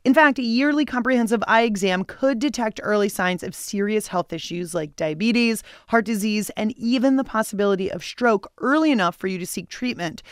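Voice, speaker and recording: A woman's voice: on the high side, clear, resonant tone, no room sound, studio quality. A woman hosting a radio show, reading scripted public radio narration.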